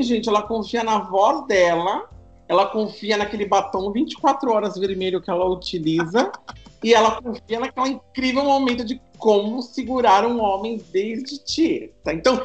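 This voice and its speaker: A man's voice: very high-pitched, lively intonation, moderate pace, echoey room, faint music behind. A man leading a podcast episode in a joking, teasing way. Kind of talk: casual podcast chat.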